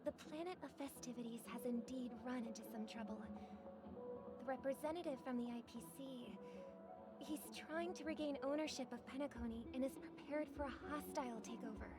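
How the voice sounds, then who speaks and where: A woman playing a poised, gracious young celebrity diplomat in a video game, calm and reassuring. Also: sits high, fairly quick, lightly acted. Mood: gentle, reassuring. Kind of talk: scripted video game voice acting. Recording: game dialogue over background music.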